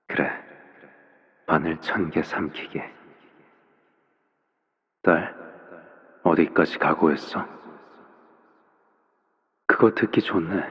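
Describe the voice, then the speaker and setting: An actor playing a soft-spoken, controlling father in a video game, speaking in a gentle, deliberate, coaxing way with quiet menace. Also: low-pitched, husky, muffled recording, full character voice. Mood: quietly menacing. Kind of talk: scripted game voice acting.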